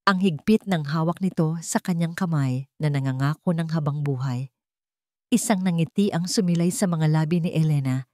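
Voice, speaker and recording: A woman's voice: sits low, normal speed, darkish tone, dry recording, studio quality. A woman reading a scripted dramatic story as its narrator.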